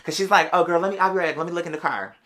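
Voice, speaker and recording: A podcast host telling a personal story in a casual, animated, sassy way, full of slang and drawn-out emphatic words. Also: medium pitch. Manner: animated, sassy, slangy, addressing listeners as "girl". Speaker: podcast or live show host. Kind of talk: casual storytelling monologue to an audience.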